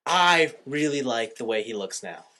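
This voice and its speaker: A man's voice: mid-range voice, animated, normal speed, bright, forceful tone. A man hosting a casual movie review podcast, giving opinions and often rewording himself as he goes. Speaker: podcast host.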